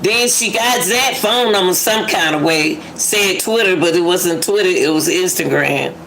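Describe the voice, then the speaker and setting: A woman's voice: on the low side, moderate pace, crowd noise, poor audio. A woman giving casual reaction commentary, musing aloud and repeating her phrases for emphasis. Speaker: commentator reacting to a phone call clip.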